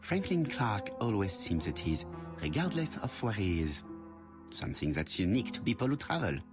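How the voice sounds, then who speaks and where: A man's voice: lowish voice, sing-song, normal speed, music in the background. A man playing a courteous, self-assured older detective in a video game, with a French accent and formal, precise phrasing. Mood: observant, musing. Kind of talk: video game dialogue and inner monologue.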